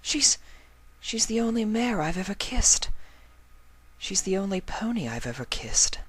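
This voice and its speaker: A young female cartoon-style pony in a high, breathy falsetto. She is emotional and easily hurt, stammering and pleading with lively swings of pitch in a dry recording. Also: measured pace, no echo, heavily acted. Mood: indignant, tearful.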